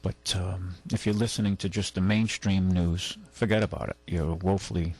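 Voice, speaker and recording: Gravelly, low-voiced male radio host. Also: fairly even intonation, darkish tone. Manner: halting, rambling delivery. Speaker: radio call-in show host. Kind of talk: casual talk radio, reading news and chatting. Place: radio studio. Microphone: close studio microphone.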